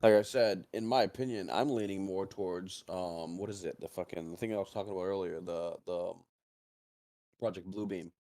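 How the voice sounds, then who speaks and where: A man's voice: low-pitched, normal speed, dark tone. A man co-hosting a livestream, chatting casually about conspiracies in a rambling way and swearing often. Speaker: livestream co-host.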